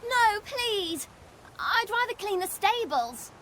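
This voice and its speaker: A woman's voice: very high-pitched, sing-song, takes it slowly, fairly bright tone, slight background noise, heavily acted. An actress playing a spirited young female trainee in a video game, sulky and pleading. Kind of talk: video game cutscene acting.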